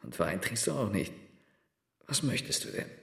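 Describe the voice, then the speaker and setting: Radio drama role: a bitter, self-doubting war veteran sculptor, lowish and animated, in a roomy space. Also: male voice, gravelly, exaggerated voice. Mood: casual, hosting. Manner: brooding, sardonic, halting when confessing. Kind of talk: radio play dialogue.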